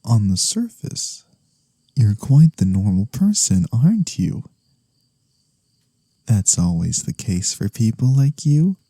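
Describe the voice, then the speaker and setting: A playful, theatrical incubus, voiced by a man in a soft-spoken fantasy ASMR roleplay. The voice is dark, husky and close with very little room around it. He teases slowly and breathily, sinking at times into a whisper. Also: low-pitched, no room sound, full character voice. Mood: probing, sly. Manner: breathy, teasing, drifting into whispers. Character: male incubus demon, teasing, theatrical yet wholesome.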